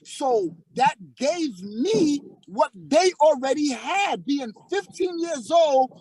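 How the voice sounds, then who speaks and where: A man's voice: very high voice, expressive, takes it slowly, darkish tone, no echo, poor audio. A man in his forties, a former student of the host, talking reflectively and filling his speech with "like" and "you know". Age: 40s. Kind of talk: casual reflective chat.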